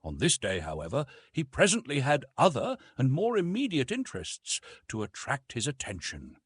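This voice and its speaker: A man narrating an adventure novel as an audiobook, in a dramatic and expressive storytelling manner. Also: mid-range voice, normal speed, breathy edge, no room sound, studio quality.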